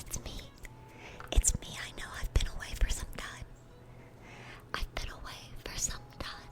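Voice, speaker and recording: Whispering dorky demon girl, voiced by a woman. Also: natural voice. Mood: eager, nervous. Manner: rambling, stammering, eager and awkward. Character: dorky, chatty, sweet demon girl with horns and fangs. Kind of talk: whispered ASMR roleplay.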